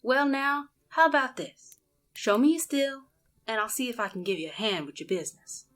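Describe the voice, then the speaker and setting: A woman's voice: on the high side, normal speed, fairly bright tone, soft background music, lightly acted. A woman playing a folksy, playful and mysterious Cajun healer in an audio drama, drawling. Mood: cheerful, sly.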